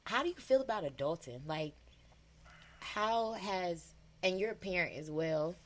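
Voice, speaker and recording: A thirty-year-old woman from hosting a casual podcast chat, loud and laughing. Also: lowish voice, lively intonation, a little slow, slight background noise. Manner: loud, laughing, slangy banter. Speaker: podcast host.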